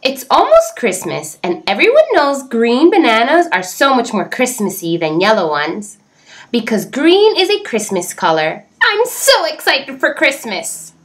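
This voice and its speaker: An excitable little storybook bird, voiced by a woman, chirps in a high, lilting voice. She gushes cheerfully and bounces all over the scale. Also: unhurried, full character voice. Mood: excited, cheerful. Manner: chirpy, gushing.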